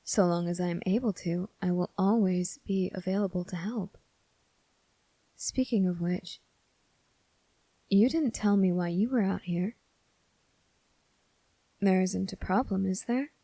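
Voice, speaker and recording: A woman playing a kind, earnest young male cadet in an ASMR roleplay, speaking softly and politely to the listener with gentle reassurance. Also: mid-range voice, measured pace, long pauses, no room sound, poor audio.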